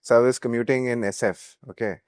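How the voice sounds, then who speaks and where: A man's voice: medium pitch, fairly even intonation, fast talker, a little mellow, smooth, no echo, studio quality. A young man with an Indian accent, a startup founder telling anecdotes casually in an interview. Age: young adult.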